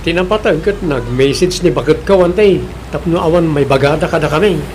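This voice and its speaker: Grumbling Ilocano village husband played by an actor. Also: male voice, on the high side, a little fast, a little mellow, a little hiss or hum, lightly acted. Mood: suspicious. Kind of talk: Filipino radio comedy drama acting.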